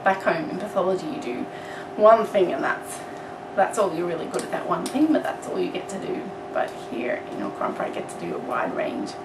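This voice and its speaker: A woman's voice: on the low side, sing-song, fairly quick. An Australian woman lab technician introducing herself in an enthusiastic, chatty way. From Australia, New South Wales. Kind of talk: personal introduction to camera. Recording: echoey room, distant mic, loud hiss.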